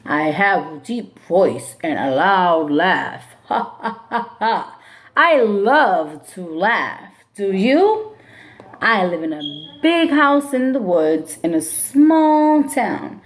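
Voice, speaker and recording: A woman teacher reads a children's book aloud to her class. Her delivery is slow and deliberate, and her pitch swings widely from line to line. She keeps the same unhurried pace when she explains the class activity. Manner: slow, expressive storybook read-aloud. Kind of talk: reading a picture book aloud to students; classroom instructions.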